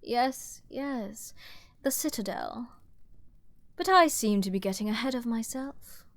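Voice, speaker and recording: Arrogant fantasy princess: lightly high-pitched, very unhurried, haughty and playfully seductive, audio-drama delivery. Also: female voice, lively intonation, dry recording. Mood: delighted, teasing. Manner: haughty, grandiose, playful aristocratic drawl with ornate diction. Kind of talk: scripted dramatic ASMR roleplay addressed to the listener.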